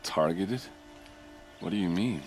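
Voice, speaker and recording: A man playing a hard-drinking, loyal guard captain in a video game, talking informally and a little haltingly. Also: low voice, lively intonation, poor audio. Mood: bewildered. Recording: music behind the voice.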